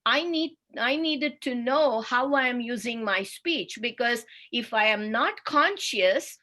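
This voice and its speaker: An Indian woman teaching in a webinar, slow and careful, with each point laid out deliberately. Her voice sits a little high and sounds bright and forward over a video call mic. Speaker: speech therapist. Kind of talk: webinar presentation.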